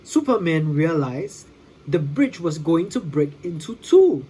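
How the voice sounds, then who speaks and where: An expressive read-along storyteller with a very husky voice. The pitch is animated and dramatic, and the pace is slow enough for young listeners to follow. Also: poor audio. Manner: dramatic, with sound effects.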